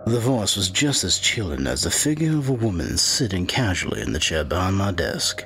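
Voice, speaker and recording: An actor playing a grim, secretive 1920s small-town sheriff, telling his dark tale as a gravelly first-person narrator. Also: deep voice, very animated, normal speed, a little hiss or hum, exaggerated voice. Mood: sinister, guarded. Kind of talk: first-person dramatic storytelling.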